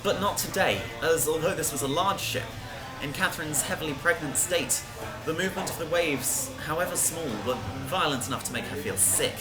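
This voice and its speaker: A woman's voice: sits low, fairly quick, poor audio. A woman reading a scripted story aloud as a narrator, with music behind her. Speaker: reader.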